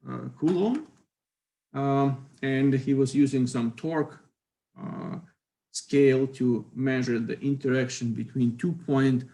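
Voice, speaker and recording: A man's voice: mid-range voice, vocal fry, a little mellow. A middle-aged man from Ukraine, giving a university physics lecture in a hesitant way, with many fillers and careful phrasing.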